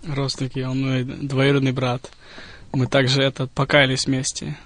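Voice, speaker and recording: Halting young man in a dry studio. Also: medium pitch, completely flat delivery, fairly bright tone, dry recording. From Moldova, later United States. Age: 20s. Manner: halting, hesitant delivery. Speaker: radio guest, college student. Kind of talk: casual interview answers. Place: radio studio.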